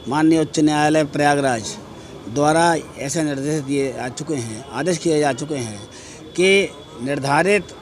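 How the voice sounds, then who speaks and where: A man giving a formal, deliberate interview sound bite with pauses. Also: fairly high pitch.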